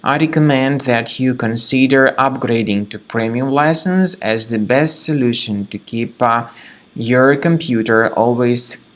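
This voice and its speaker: A man with a medium-pitched voice narrates a how-to tutorial. He speaks in deliberate, halting phrases, as if partly following a script. The delivery is instructional and step by step.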